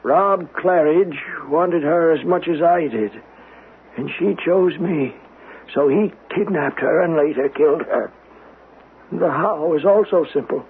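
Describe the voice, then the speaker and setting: An actor playing a vengeful, devout man over ninety in a radio mystery drama, with a solemn, deliberate, fervent delivery. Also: on the high side, breathy edge, full character voice. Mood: solemn insistence. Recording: noisy, tinny old radio broadcast recording.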